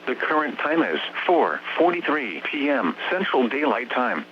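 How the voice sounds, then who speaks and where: A synthetic American man's voice reading scripted weather bulletins as a radio announcer in a formal, measured way. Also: mid-range voice, very animated. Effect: synthetic text-to-speech voice. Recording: muffled, noisy radio broadcast with hum.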